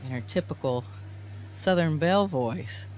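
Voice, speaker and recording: A man playing a tabletop game, easygoing, slowly describing his character's actions. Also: fairly high pitch. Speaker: player. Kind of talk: casual play at a tabletop game.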